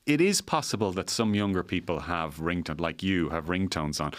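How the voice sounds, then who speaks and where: A man's voice: fairly low pitch, fairly bright tone, no room sound. A middle-aged Irish man hosting a podcast, arguing his opinions emphatically with an exasperated edge and repeating points for effect. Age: middle-aged, forties or fifties. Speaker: podcast host.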